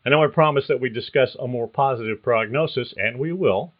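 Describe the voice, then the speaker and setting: Male host reading career advice from a script, measured and explanatory; thin, phone-like recording. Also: medium pitch. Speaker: podcast host. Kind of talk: scripted narration.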